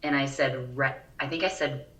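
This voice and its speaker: Woman, forties, very deep scratchy voice, pauses and ums, roomy distant mic, faint background hum. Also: steady tone, a bit bright. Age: 40s. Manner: pauses and searches for words, frequent ums. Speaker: podcast co-host. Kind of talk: casual chat.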